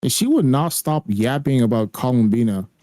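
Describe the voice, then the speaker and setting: A man's voice: mid-range voice, animated, moderate pace, warm tone, slight background noise. A young man, a native Spanish speaker, chatting casually as a gaming streamer in a blunt, slangy way and swearing freely. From raised by a Spanish-speaking family, living in the US.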